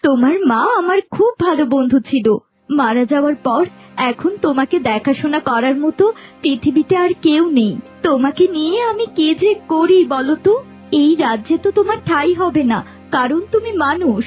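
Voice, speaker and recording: A woman's voice: sits high, lively intonation, normal speed, darkish tone. A woman voicing a woman of a magical non-human kingdom in a cartoon, with a storybook cadence. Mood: tender. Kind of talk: cartoon voice acting. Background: music.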